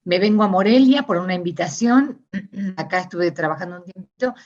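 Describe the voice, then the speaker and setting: A middle-aged Argentine woman with an Argentine Spanish accent, answering interview questions in a thoughtful, searching way with many pauses and restarts. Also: fairly low pitch, a little mellow, dull audio. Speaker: interviewee, discourse analysis scholar. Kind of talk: reflective conversational interview.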